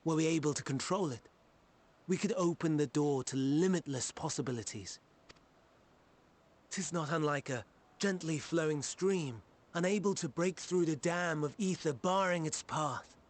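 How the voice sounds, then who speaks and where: A man's voice: fairly high pitch, moderate pace, close to an everyday voice. An actor playing a learned, courteous male scholar in a game cutscene, lecturing in old-fashioned speech. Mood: explaining. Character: learned archaic male scholar, genteel and kindly. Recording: game audio with music behind.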